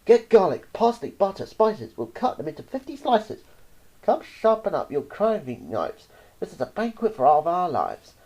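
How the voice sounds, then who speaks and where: A woman voicing a crowd of greedy, excited Frenchmen in a storybook, loud and eager. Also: on the low side, moderate pace, heavily acted, low-quality recording. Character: hungry, shouting crowd of Frenchmen.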